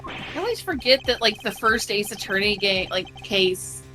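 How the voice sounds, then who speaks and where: A woman co-hosting a game stream, chatty and quick to riff along with casual jokes. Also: mid-range voice, expressive, over music, low-quality recording. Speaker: streamer co-host. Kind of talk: casual joking commentary.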